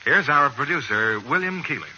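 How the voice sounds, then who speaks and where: A man's voice: medium pitch, lively intonation, normal speed, dull audio. A man announcing on radio in a scripted, polished 1940s advertising style. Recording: bright, noisy old radio recording.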